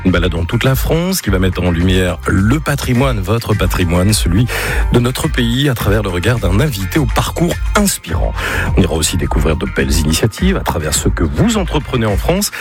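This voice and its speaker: A man's voice: lowish voice, big pitch swings, fairly quick, over music. A man hosting an evening radio interview show, welcoming listeners and questioning his guest in a playful, teasing way. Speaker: radio host.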